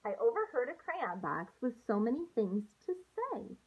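A woman in her thirties, a teacher reading aloud to young children with an expressive, sing-song delivery, recorded on a handheld-distance device at home. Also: on the high side, normal speed, husky, narrow, tinny audio. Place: small room at home, indoors. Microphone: phone or laptop at arm's length.

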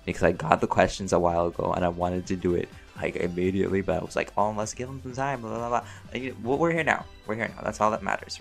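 A man's voice: low-pitched, gravelly. A man rambling casually through a Q&A, chatty, playful and slangy, often interrupting himself and prone to giggling. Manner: giggly, often breaking into laughter. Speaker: channel creator and voice actor answering fan questions. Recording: music behind the voice.

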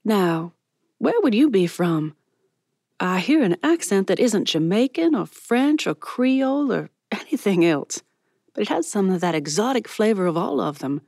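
A woman's voice: mid-range voice, big pitch swings, unhurried, gravelly, darkish tone, slightly rough, dry recording, heavily acted. Woman narrator as sweet old-fashioned older lady, folksy, genteel, curious, audiobook, dry recording.

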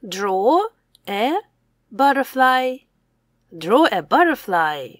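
A young woman teacher reading aloud a simple language lesson for children, saying words deliberately and separately, with exclaimed prompts. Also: on the high side, big pitch swings, dry recording, clean studio sound. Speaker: English teacher.

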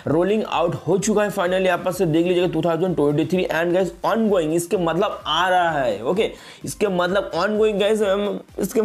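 A man's voice: on the high side, a little mellow. A young man hosting a casual tech tutorial, repeating himself and mixing English words into his talk. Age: young adult. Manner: repetitive, frequently addresses viewers as guys, mixes in English words. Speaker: tech video host. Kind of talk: casual phone update tutorial.

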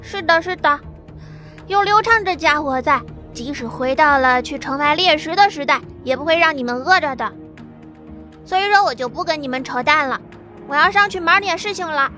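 A woman's voice: high voice, lively intonation, normal speed, bright, forceful tone, faint music behind. A woman voicing a blunt young man. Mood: offhand. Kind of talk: audio drama acting.

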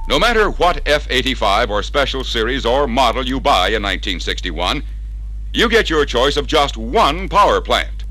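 A man's voice: mid-range voice, bright, forceful tone, rough recording. An American man narrating a scripted 1960s sales training film in a punchy, persuasive salesman's manner, on a vintage record soundtrack. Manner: punchy, persuasive salesman delivery with rhetorical questions.

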